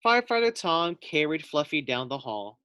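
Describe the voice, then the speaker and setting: Young teacher, male, reads to kids, gentle and steady. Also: on the high side, clean tone, dry recording. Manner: gentle, unhurried, with friendly asides. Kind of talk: reading a picture book aloud to first graders.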